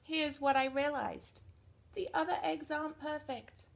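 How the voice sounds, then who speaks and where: A woman reading a picture book aloud to children in an expressive read-aloud manner, dropping to soft, chatty asides about the pictures. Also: high-pitched, unhurried, vocal fry. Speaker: storybook reader.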